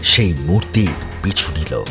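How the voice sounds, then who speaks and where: A man tells a ghost story with dramatic, lively intonation. His voice is on the low side and slow, with music behind it. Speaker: storyteller, narrator. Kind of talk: dramatic scripted horror narration.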